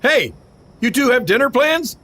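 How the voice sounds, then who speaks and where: Brash veteran male wrestler for a video game; sharp, high voice, cocky and folksy. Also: very animated, measured pace, heavily acted. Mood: cocky, breezy. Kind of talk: video game cutscene acting.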